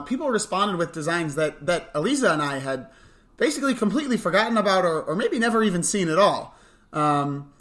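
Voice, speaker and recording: Bright-voiced young man, dry and mock-serious. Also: high voice. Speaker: co-host of a camp morning show podcast. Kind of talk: chatty news and mailbox segment.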